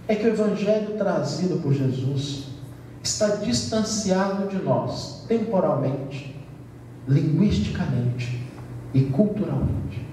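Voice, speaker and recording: A Brazilian man delivers a spiritist lecture in a measured, emphatic way, now and then easing into light humor. His voice sits high but sounds mellow and soft-edged. He is heard from a distance in a big, echoing event hall with a faint hiss underneath. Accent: Brazilian Portuguese. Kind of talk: lecture to an audience. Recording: roomy hall sound from far off with faint noise.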